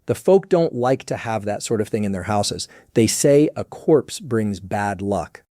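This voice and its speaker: A man's voice: fairly low pitch, lively intonation, measured pace, dark tone, dry recording, clean studio sound, pitched above the performer's own voice. A man voicing a plain, earnest country gamekeeper who speaks respectfully to his master, for an audiobook. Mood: deferential, earnest. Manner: respectful, plain-spoken servant. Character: deferential rustic English head gamekeeper, plain and earnest. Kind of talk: audiobook character voice.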